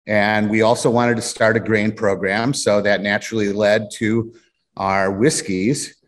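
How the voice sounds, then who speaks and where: Rambling, low-voiced man from Illinois. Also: little pitch movement, a little slow. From Illinois, USA. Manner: rambling storyteller, repeats phrases. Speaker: distillery co-owner. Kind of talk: casual interview talk.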